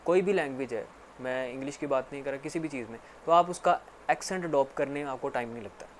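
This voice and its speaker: Male tutor, mid-pitched, rambling casual lecture, faint hiss behind. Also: quick pace. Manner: repetitive, filler-heavy explaining with frequent "actually". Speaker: English teacher.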